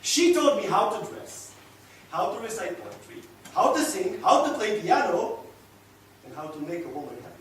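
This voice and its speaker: A man acting a passionate, boastful, unrepentant young womanizer in a theatrical stage monologue, swinging between hushed murmurs and loud declarations. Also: high voice, very animated, normal speed. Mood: boastful, nostalgic. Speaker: actor. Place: echoey indoor room, recorded from a distance. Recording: echoey room, distant mic, faint noise.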